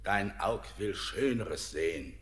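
An actor playing an eerie old spirit who guides a sailor into the mine, speaking slowly and commandingly in a classic radio play. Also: male voice, low voice, lively intonation, a bit bright, in a large hall, full character voice.

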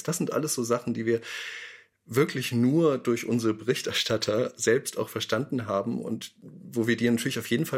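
A man with a fairly low, gravelly voice reflects in an interview. He talks thoughtfully and leans on key words with small pauses. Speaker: radio drama maker.

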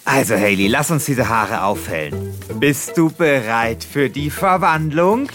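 A woman's voice: extremely low pitch, expressive, a little slow, soft background music. A woman playing a proud, theatrical hairdresser who reminisces and chats in a radio play. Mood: brisk, chatty. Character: proud, chatty hairdresser, nostalgic for disco days.

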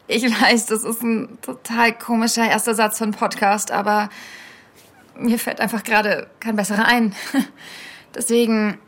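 Young podcast woman in an audio drama, lots of vocal fry, informal, kinda awkward and earnest, confiding. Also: on the high side, a bit bright. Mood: self-conscious. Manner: hesitant, self-conscious, informal. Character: young female podcaster, earnest, self-conscious. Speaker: podcast host. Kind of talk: confessional podcast monologue, conversational.

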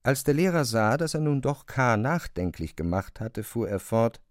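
A man narrating a literary radio drama in a measured, articulate and expressive way. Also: mid-range voice, no echo, clean studio sound.

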